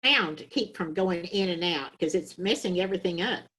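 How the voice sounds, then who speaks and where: A woman's voice: lowish voice, expressive, moderate pace, sharp tone. An older woman, a resident in a group video call, talking casually in a plain-spoken, practical way. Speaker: senior living resident in theater group. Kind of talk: casual meeting talk.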